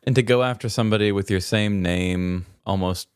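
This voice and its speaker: A man with a low voice talks as a podcast co-host, recorded dry and close. He is conversational and joking, with a self-deprecating streak in his casual comedic chat. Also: a little fast, clean tone, no room sound.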